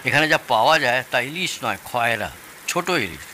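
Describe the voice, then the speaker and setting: Man playing bright-voiced nostalgic older father-in-law. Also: medium pitch, expressive, normal speed, slight background noise. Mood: earnest. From Bangladesh. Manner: expansive, nostalgic storytelling. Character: genial older father-in-law, nostalgic Bengali storyteller, fond of hilsa. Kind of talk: Bengali audio drama acting.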